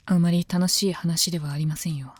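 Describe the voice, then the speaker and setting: A woman playing a composed, devoted female knight of common birth in a Japanese voice drama, speaking calmly, politely and softly. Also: fairly low pitch, a little fast, breathy edge, no room sound. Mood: quietly teasing, grave. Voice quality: calm, composed. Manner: polite, deferential, soft-spoken. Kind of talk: Japanese voice drama, intimate scripted dialogue.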